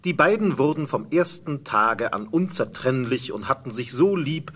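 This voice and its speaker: Husky, expressive male fairy-tale narrator. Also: mid-range voice, moderate pace. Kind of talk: scripted storytelling narration.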